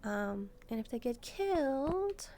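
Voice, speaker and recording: A woman's voice: high-pitched, very animated, takes it slowly, mellow, slight background noise, full character voice. A woman voicing a sweet, curious young demon maid, chatting politely and a little hesitantly to the listener in a roleplay. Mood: earnest, explaining.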